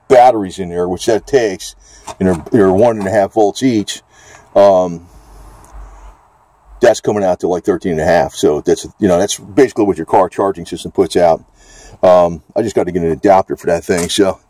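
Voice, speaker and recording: A man's voice: fairly low pitch, normal speed, darkish tone, no room sound. A man giving a casual, off-the-cuff product demonstration, talking in a rambling, hesitant, folksy way. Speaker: gadget reviewer.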